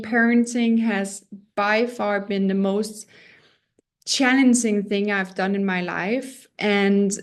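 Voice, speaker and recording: Danish woman teaching an online parenting course. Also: medium pitch. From Denmark. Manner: earnest, personal, with small restarts and self-deprecating asides.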